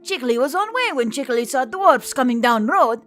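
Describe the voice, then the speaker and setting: An excitable young harpy scout acted by a woman in a fantasy audiobook. The voice is very high and bright, swinging widely in pitch. Speech comes in eager, broken phrases. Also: fairly quick, soft background music. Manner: broken third-person speech. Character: excitable small male harpy scout, childlike and playful. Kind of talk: audiobook character acting.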